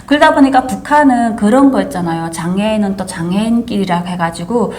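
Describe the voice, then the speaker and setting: A woman's voice: mid-range voice, a little fast, a little mellow. Earnest woman from North Korea, chatting. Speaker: host. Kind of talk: casual chat.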